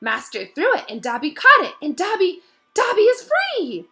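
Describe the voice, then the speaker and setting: Shrill little storybook elf female reader, high, forceful, excitable, devoted. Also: moderate pace, heavily acted. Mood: awestruck. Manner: speaks of self in third person, emphatic. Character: small male house elf, excitable, devoted, awestruck.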